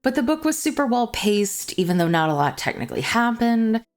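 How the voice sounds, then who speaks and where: A woman's voice: a little high, big pitch swings, brisk. A young adult woman chatting as a book vlogger, gushing and confessional in manner. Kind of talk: chatty book review to camera. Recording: music playing behind the voice. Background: background music.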